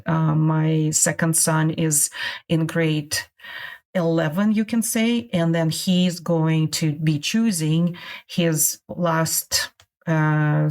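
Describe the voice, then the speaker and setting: A middle-aged woman from Russia, a guest on a podcast interview, talking in halting phrases with many restarts. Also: deep voice, a little mellow. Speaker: guest, immigration consultant.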